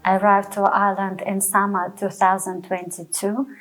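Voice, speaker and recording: A woman from Ukraine with a Ukrainian accent, speaking in a documentary interview in a measured, earnest way, pausing to find her words. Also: medium pitch, level tone, fairly bright tone, rough recording. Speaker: refugee volunteer and choir founder.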